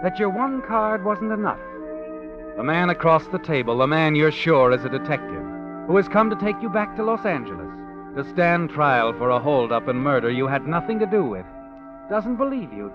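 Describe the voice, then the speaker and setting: Casting: taunting omniscient storyteller, theatrical and animated, old radio thriller over clear music. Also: male voice, fairly high pitch. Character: sinister, taunting male all-knowing narrator. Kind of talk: 1940s radio suspense narration. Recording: dull 1940s radio recording.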